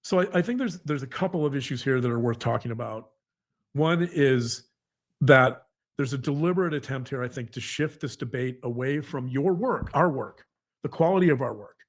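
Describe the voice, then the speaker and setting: A man talking earnestly to a co-host in a halting, repetitive, emphatic conversational monologue. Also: medium pitch, animated. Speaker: podcast co-host, psychologist.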